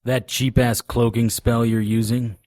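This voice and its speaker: An actor playing a wisecracking, world-weary occult investigator, narrating and trading lines in a noir-style audio drama. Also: male voice, mid-range voice, level tone, unhurried, darkish tone, no room sound, clean studio sound, lightly acted. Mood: mocking. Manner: sardonic, flippant.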